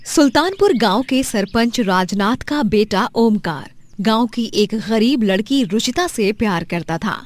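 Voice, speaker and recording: A woman narrates a scripted tale in a fairly high voice. The recording is dry, with no room sound. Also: lively intonation, a little slow, dry recording.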